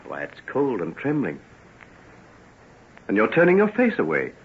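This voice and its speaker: An actor playing a troubled, remorseful gentleman doctor, earnest and theatrical, in an old-time radio drama. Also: medium pitch, huge pitch swings, moderate pace. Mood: tender, coaxing. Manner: earnest, theatrical, formal phrasing. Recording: muffled, noisy old radio recording.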